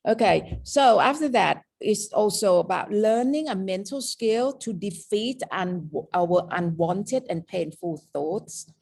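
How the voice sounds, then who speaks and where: A woman's voice: medium pitch, expressive, takes it slowly, gravelly, few pauses, darkish tone, breathy edge. A woman with a mixed Thai and British accent, teaching a lecture on meditation and often checking in with "okay?". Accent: Thai and British mix. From Thailand. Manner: explaining with frequent "okay?" checks.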